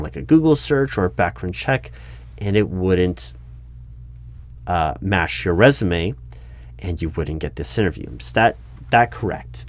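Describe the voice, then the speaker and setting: A man playing a baffled, exasperated recruiting manager in a one-sided ASMR job interview roleplay, speaking aloud with halting, repeated words. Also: on the low side. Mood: puzzled, probing. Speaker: roleplay performer.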